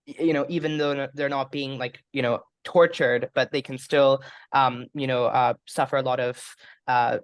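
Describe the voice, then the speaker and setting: Interviewer, mid pitch, thoughtful and hedging, filler-heavy questions. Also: fairly even intonation, normal speed, clean tone. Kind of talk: conversational interview.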